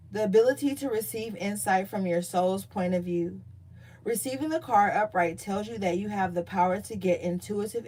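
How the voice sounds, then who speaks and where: Loose-talking woman tarot reader. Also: mid-range voice. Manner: loose, profane, chatty asides; reads card meanings aloud in a calmer tone. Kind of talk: casual tarot reading.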